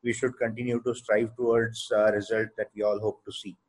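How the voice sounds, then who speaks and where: A man's voice: fairly low pitch, flat delivery, fairly quick, poor audio. Indian-accented male co-founder, hesitant. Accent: Indian English. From Odisha, India. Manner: thoughtful, hesitant, many fillers. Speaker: company co-founder, guest. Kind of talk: interview answers.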